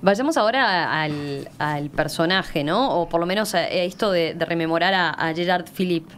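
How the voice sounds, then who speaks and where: A woman's voice: fairly low pitch, moderate pace, a little hiss or hum. A woman interviewing a guest conversationally in a radio studio. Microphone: studio microphone.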